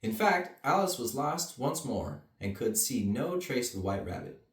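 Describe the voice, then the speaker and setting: A man's voice: medium pitch, sing-song, moderate pace. A man reading a bedtime story to children with casual asides. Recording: roomy echo, mic at a distance.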